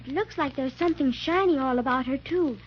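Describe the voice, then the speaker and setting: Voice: high voice, brisk, natural voice. A performer playing a polite, curious, wide-eyed little girl in 1930s radio style. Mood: awed. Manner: earnest, marveling. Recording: muffled, noisy 1930s radio transcription.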